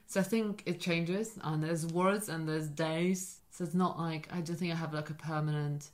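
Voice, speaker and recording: A young woman with a Polish accent that leans partly British talks in a loose, casual way. She drifts from one tangent to another in a lowish voice as she co-hosts a chat show. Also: steady tone, normal speed. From Poland. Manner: scattered, jumps between tangents. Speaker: podcast co-host.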